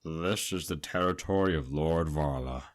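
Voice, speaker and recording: World-weary male guide for a fantasy game: extremely low register, matter-of-fact, grim. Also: normal speed. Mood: grimly helpful. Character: world-weary local man giving grim warnings to a traveler. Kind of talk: video game dialogue.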